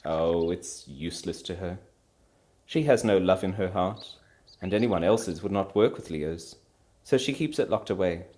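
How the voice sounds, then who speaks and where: A man's voice: low voice, unhurried, darkish tone. A man voicing a proud, anguished, defiant wife in a storybook reading, impassioned and emphatic, trembling with feeling. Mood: bitter, yearning. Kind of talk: dramatic storybook character reading.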